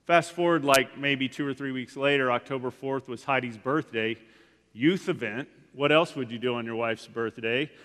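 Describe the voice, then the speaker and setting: A man's voice: medium pitch, moderate pace, fairly bright tone. A man in his forties, a guest speaker giving a casual, rambling sermon in a church, full of "like" and restarts. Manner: rambling, frequent "like" fillers and restarts. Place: church sanctuary. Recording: long hall reverb.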